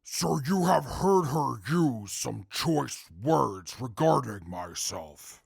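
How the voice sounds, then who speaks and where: An actor playing a calm, articulate male super mutant who testifies in court in a formal, measured way with a gravelly voice. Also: mid-range voice, dry recording, full character voice. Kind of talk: audio drama acting.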